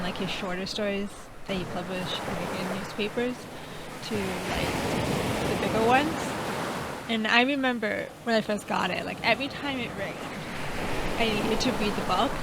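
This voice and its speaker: A woman doing casual playthrough commentary, informal and expressive, sometimes reading text aloud. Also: medium pitch, very noisy. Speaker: gaming video creator. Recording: loud ocean waves and game ambience behind voice. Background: game's sea ambience.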